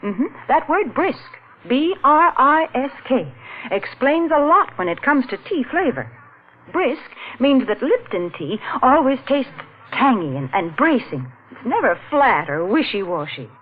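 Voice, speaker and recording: An actress playing a cheerful, chiding homemaker who gives the sponsor's pitch in a 1940s radio style. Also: female voice, very animated, a little slow. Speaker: sponsor spokeswoman. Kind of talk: 1940s radio commercial banter. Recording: muffled, noisy vintage radio.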